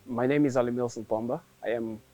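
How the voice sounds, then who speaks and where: A man's voice: medium pitch, steady tone, fast talker, dark tone, soft background music. A male graduate student from Angola, speaking in a thoughtful, earnest way in an interview.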